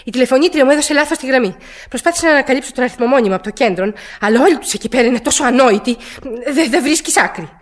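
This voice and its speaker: An actress in a radio drama playing an anxious, querulous bedridden wife, agitated, hesitant and insistent. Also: female voice, high voice, expressive. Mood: anxious, flustered.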